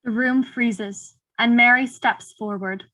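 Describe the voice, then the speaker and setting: A woman reading stage directions as scripted narration, in a measured, dramatic way. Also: fairly high pitch, fairly even intonation, bright, forceful tone, dry recording.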